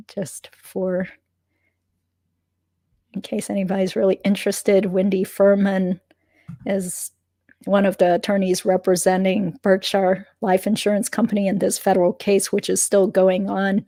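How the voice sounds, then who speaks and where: A woman hosting a legal commentary livestream, chatting casually with fillers and asides. Her voice is slightly croaky. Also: mid-range voice, monotone, measured pace, warm tone, no echo.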